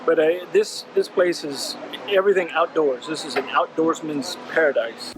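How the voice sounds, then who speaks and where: Man co-host, easygoing, slightly high voice, clear background hum. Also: fairly even intonation, moderate pace. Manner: laid-back, brief replies. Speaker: co-host, vlogger's partner. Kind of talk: casual vlog chat.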